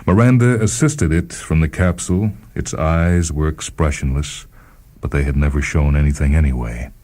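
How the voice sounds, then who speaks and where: An American man reading a scripted short story on radio, with a slow, measured, grave and deliberate delivery. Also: rumbling low, mellow. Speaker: radio host and story reader. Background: music.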